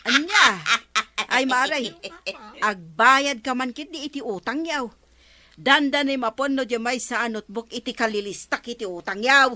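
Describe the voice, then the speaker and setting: An actress playing a scolding, questioning village wife in a comedy radio drama, with an Ilocano accent. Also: female voice, high voice, normal speed, bright, forceful tone.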